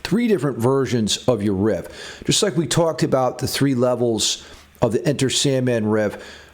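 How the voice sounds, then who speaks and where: A man's voice: medium pitch, animated, normal speed. A man giving a casual music theory lesson as a guitar teacher.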